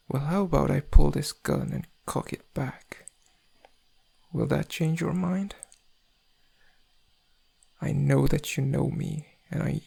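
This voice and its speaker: Man acting a slow, gravelly, smug thief. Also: medium pitch, dark tone, heavily acted. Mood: threatening. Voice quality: gravelly, husky.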